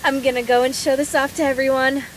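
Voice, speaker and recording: A woman's voice: sits high, level tone, fast talker, a little hiss or hum, natural voice, poor audio. A woman playing a playful, affectionate, brash young woman soldier in a video game voice drama, with a casual teasing manner.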